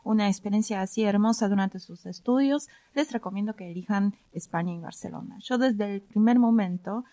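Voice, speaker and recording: A Polish-born woman in her thirties speaks Spanish with an Argentine Rioplatense lilt and tells her story casually as a podcast guest. She pauses often on "eh", and her voice sits at a middle pitch, recorded dry and close. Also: dark tone, no room sound. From Poland. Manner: hesitant, frequent "eh" fillers.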